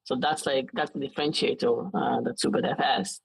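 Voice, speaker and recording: A man in his late twenties with an Israeli accent, talking casually as an interview guest in a halting way with frequent false starts. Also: mid-range voice, creaky, slightly rough, no echo, low-quality recording.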